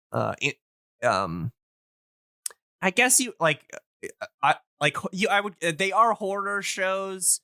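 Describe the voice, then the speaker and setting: A man in a casual explanatory podcast chat, talking in a hesitant, halting way as he thinks aloud. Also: a little high, sharp tone, clear, resonant tone, no room sound, clean studio sound.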